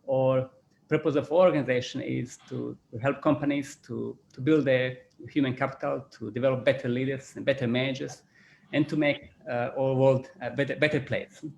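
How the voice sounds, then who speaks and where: A man with a Croatian accent closes an online panel as chairman. He speaks at an ordinary pitch, halts often and repeats his words. A faint hiss runs under the call. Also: steady tone, creaky, a little mellow, highs cut off. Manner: halting, repeats words, many "you know" fillers. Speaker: business school chairman. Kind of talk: closing remarks at an online panel.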